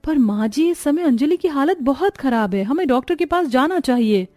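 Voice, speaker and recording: Woman as meek young daughter-in-law, highish voice. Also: expressive, moderate pace, a little mellow, no echo. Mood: pleading. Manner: polite, pleading. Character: meek, worried young pregnant daughter-in-law, dutiful and long-suffering. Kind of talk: Hindi cartoon moral-story dialogue.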